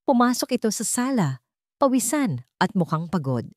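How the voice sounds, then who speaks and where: A woman's voice: fairly high pitch, sing-song, normal speed, darkish tone, dry recording, studio quality. A woman narrator reading a dramatic scripted love story. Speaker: narrator of Tagalog love stories.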